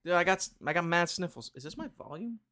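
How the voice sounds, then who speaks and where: A man's voice: high voice, expressive, fast, sharp tone. A man hosting a podcast in casual chat, joking and rambling with lots of fillers and restarts. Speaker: podcast host.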